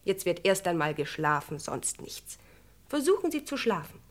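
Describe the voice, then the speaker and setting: A woman playing a brisk, motherly hospital nurse in a radio crime play, strict yet soothing and no-nonsense. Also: on the low side, big pitch swings. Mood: firm, soothing.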